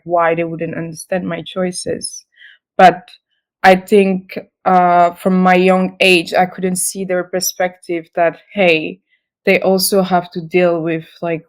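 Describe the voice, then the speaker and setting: Slow, low-voiced woman. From Senegal. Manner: halting, many fillers and restarts. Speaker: guest, model. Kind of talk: casual interview talk.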